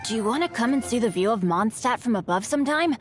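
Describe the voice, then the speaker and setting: Woman acting as an eager, high-voiced boy adventurer. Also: animated, fast, close to an everyday voice. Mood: sheepish. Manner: eager, boyish, earnest. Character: upbeat, unlucky teenage boy adventurer. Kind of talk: video game character voice lines. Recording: studio voice over background music.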